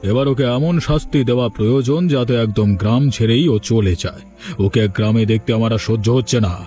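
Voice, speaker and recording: A man's voice: mid-range voice, fast talker, warm tone, soft background music, lightly acted. A man voicing a spiteful village uncle who schemes in a sly, conspiratorial way, in a cartoon. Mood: spiteful, scheming. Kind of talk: Bengali cartoon dialogue dubbing.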